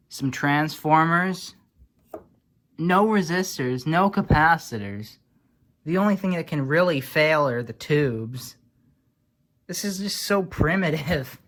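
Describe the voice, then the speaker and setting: A man's voice: fairly high pitch, fairly bright tone. A man giving casual, unscripted show-and-tell commentary in a dry, wry manner, like a hobbyist vlogger. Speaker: vlogger showing old radio repairs.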